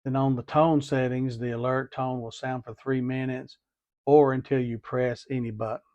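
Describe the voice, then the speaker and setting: A man's voice: medium pitch, level tone, moderate pace, dry recording, muffled recording. A man giving a methodical, hands-on tutorial, talking through each step and repeating as he goes. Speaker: DIY product demonstrator.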